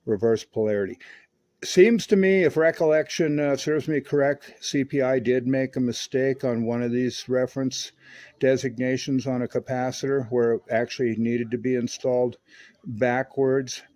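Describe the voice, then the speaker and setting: A man's voice: mid-range voice, fairly even intonation, normal speed, darkish tone. An American man narrating a repair walkthrough as a technician, in a casual, folksy, rambling explanatory way. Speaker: radio repair technician and video host.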